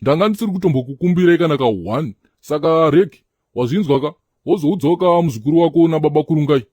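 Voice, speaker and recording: An exasperated, insistent schemer in a comedy cartoon, voiced by a man. He argues quickly in a somewhat mellow, highish voice. The sound is dry and close. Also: lively intonation, dry recording. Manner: argumentative, insistent.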